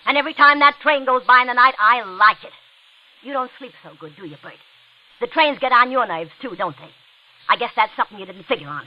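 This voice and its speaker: Actress as high-pitched, sharp-tongued 1940s radio wife. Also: female voice, fast, lightly acted. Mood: scornful, mocking. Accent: American. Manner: sneering, cutting. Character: bitter, taunting unfaithful wife turning terrified. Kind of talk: 1940s radio drama acting. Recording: dull, noisy old radio recording.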